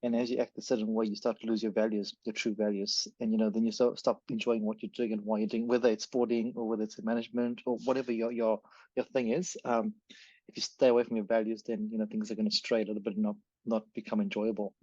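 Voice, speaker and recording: Fast-talking New podcast host. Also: male voice, fairly low pitch, flat delivery, a little mellow, no echo. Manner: fast, rambling, self-correcting. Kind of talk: casual podcast chat. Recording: dry, dull lo-fi sound.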